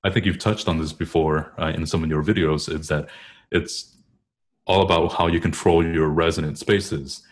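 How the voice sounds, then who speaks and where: Male interview guest, deep-pitched, informal and explanatory, thinking aloud with halting rephrasing. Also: fairly even intonation. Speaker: guest singer and choral bass.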